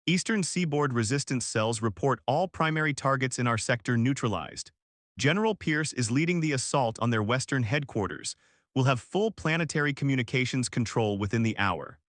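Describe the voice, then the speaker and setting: A man's voice: medium pitch, expressive, normal speed, fairly bright tone, no echo, natural voice. Man voicing a terse, resolute female commander, very dry. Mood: brisk. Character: resolute female resistance commander in a space war.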